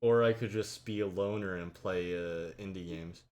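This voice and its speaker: A man's voice: low voice, normal speed, a little mellow. A man hosting a casual chat among friends, joking and laughing often. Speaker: streamer and podcast host.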